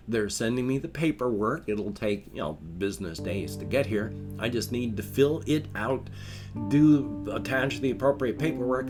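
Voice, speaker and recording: An older man with a nasal, congested voice, wheezy from a cold, rambling in a casual solo vlog at home, with dry self-mocking asides. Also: mid-range voice. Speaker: vlogger. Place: at home in his chair. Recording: guitar music in background.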